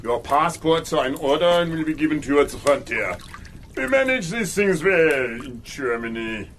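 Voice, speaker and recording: An actor playing a cruel, domineering middle-aged German officer in a radio drama, mocking and commanding. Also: male voice, fairly high pitch, big pitch swings, a bit bright, a little hiss or hum. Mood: brisk. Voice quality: mocking, commanding, slow and deliberate. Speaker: villain.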